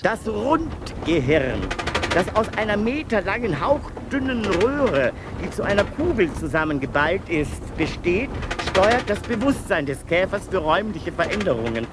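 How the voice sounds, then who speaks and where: A fussy male asylum inmate holding forth on insects like a lecturer. His fairly high voice leaps up and down as he recites. A steady hiss of noise runs under the radio play recording. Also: a little slow. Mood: pedantic. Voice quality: pedantic, lecturing, with sudden pitch swings. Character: male asylum patient lecturing obsessively on beetles. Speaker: patient narrator. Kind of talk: 1990s radio play acting.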